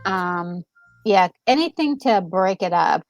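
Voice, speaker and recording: A woman co-hosting a gaming video, chatting casually with expressive interjections. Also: on the low side, measured pace, dull audio, soft background music. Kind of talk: casual reaction chat.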